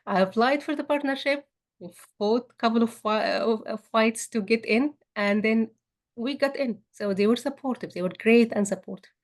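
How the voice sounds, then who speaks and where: A woman's voice: a little high, normal speed. A woman, speaking at a meetup about her career, often restarting and repeating words. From Egypt. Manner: frequent restarts and repeated words, warm laughing asides. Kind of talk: personal career story talk.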